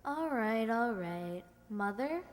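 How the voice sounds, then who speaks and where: Lady playing impish little sister, blunt, highish bouncy voice, radio play in echoey hall. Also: expressive, takes it slowly. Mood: grudging. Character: cheeky, blunt young girl, the kid sister. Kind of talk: stage-style radio play acting.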